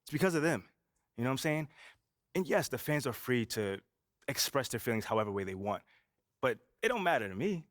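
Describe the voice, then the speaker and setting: A man's voice: mid-range voice, sing-song, a little fast, pauses often, no echo, clean studio sound, close to an everyday voice. An actor playing a confident young male basketball rookie in a game cutscene, laid-back and brash, constantly saying "you know". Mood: composed. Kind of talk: video game cutscene voice acting.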